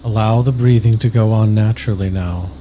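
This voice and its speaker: Male sleep-meditation narrator. Flat, unhurried, soothing read with long pauses, over clearly audible ambient noise. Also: lowish voice. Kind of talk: scripted guided sleep meditation.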